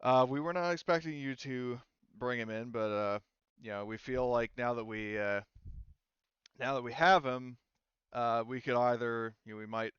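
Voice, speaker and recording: A man's voice: medium pitch, moderate pace, a bit bright, clear, resonant tone, dry recording, dull audio, lightly acted. A man voicing a hesitant, bureaucratic prison officer in a tabletop game, stammering and noncommittal in official phrasing. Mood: hesitant, businesslike.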